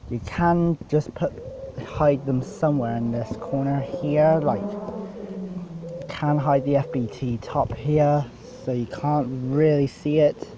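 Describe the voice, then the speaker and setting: A man with a fairly high voice talks through his DJ setup in a casual, unscripted way. He speaks slowly and haltingly, rambling from one point to the next in blunt, informal language. There is some light background noise with clicking. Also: highs cut off. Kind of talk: casual unscripted gear walkthrough.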